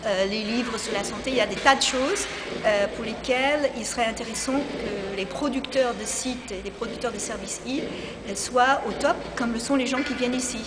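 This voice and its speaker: A woman with a slight foreign accent speaks in a fairly high voice, enthusiastic and quick to restart her sentences. She was recorded in a large convention hall with a long echo and clear ambient noise all around. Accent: slight foreign accent in French. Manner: energetic, enthusiastic, with many restarts. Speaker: interviewee, e-health specialist. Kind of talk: improvised interview.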